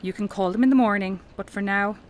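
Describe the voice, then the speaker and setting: A woman's voice: mid-range voice, quick pace, a little hiss or hum, lightly acted. A woman playing a brusque, defensive archaeology professor in an audio drama, speaking formally and dismissively. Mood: brisk, businesslike. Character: renowned female archaeology professor, brusque, defensive, obsessed with her find.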